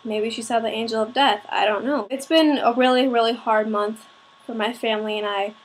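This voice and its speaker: Raspy, higher-pitched young woman, informal. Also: steady tone, normal speed, rough recording. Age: young adult, student. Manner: hesitant, informal, self-conscious. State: slightly sick with a cold-like rasp. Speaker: vlogger. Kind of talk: personal talk to camera.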